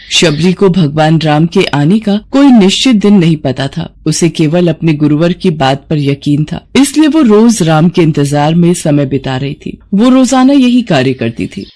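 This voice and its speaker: A woman's voice: fairly low pitch, big pitch swings, moderate pace, hardly any pauses, warm tone. A woman narrating a scripted devotional story.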